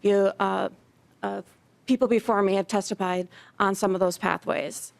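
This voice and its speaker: Middle-aged woman, director, formal prepared testimony, steady delivery, mid pitch. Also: moderate pace, creaky. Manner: steady, reading a prepared statement. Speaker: community education director. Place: legislative committee hearing room.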